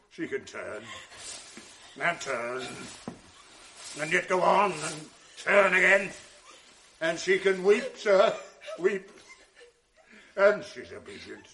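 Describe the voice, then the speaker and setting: A tormented general consumed by jealousy. The voice is gravelly and slow, with a faint hiss behind it from an old radio broadcast. He broods in near-whispers, then breaks into bitter rage. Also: mid-range voice. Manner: shifts between hushed brooding and raging outbursts. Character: jealous, tormented male general, middle-aged. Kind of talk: 1980s radio drama acting.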